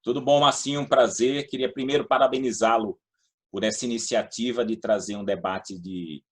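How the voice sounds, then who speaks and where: A man's voice: medium pitch, fairly even intonation, normal speed, breathy edge. A middle-aged or older man with a Brazilian accent from São Paulo state, talking casually and anecdotally as an interview guest. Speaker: guest, former public housing and utilities official.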